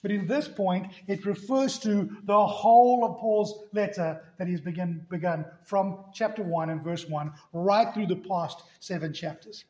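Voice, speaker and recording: A man's voice: sits high, unhurried, hardly any pauses, darkish tone. A man preaching a sermon in a church, emphatic, hammering key words again and again. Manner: emphatic, repeats key words with rising force. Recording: echoey room.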